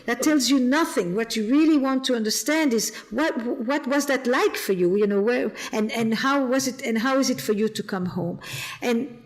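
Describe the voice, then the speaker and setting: A woman's voice: fairly high pitch, moderate pace. A woman from the Flemish part of Belgium with a Flemish accent, speaking thoughtfully as a guest therapist on a podcast. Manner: reflective, repeats words while thinking. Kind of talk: podcast interview.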